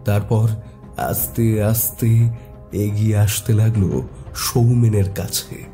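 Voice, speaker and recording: A man narrating a scripted horror story in a suspenseful, deliberate, dramatic way. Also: on the low side, steady tone, warm tone, faint music behind.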